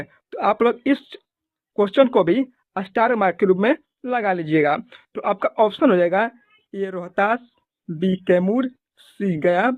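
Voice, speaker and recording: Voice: medium pitch, a little mellow, no room sound. An exam prep teacher, methodically reading out quiz questions, options and answers in an instructional way. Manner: methodical, instructional, repetitive set phrasing.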